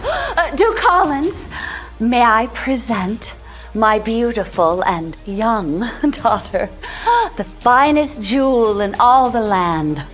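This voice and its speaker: A woman's voice: on the high side, lively intonation, takes it slowly, soft background music. A woman playing a pushy, simpering society mother in an audio drama. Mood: fawning.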